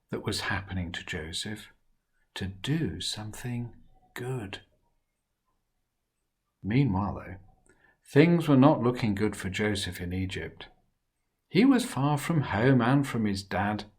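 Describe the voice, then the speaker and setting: A man reading a Bible story to children expressively and dramatically, moving between hushed and emphatic delivery. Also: on the low side, husky.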